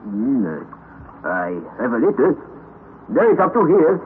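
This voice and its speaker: A male actor playing a tired hired guide in an old radio drama, speaking in a halting, plaintive way. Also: mid-range voice, sing-song, slight background noise. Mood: weary. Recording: old lo-fi radio broadcast, hollow reverb.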